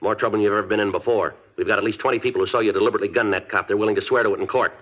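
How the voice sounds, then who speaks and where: An actor playing a police detective who narrates a case in clipped, matter-of-fact sentences, in a 1950s radio drama. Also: male voice, fairly low pitch, steady tone, rapid-fire, close to an everyday voice. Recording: dull old radio broadcast.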